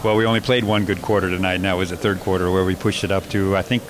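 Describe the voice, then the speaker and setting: A man coaching basketball, answering postgame interview questions in a measured way with many fillers and restarts. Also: sits low, flat delivery. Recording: noisy background.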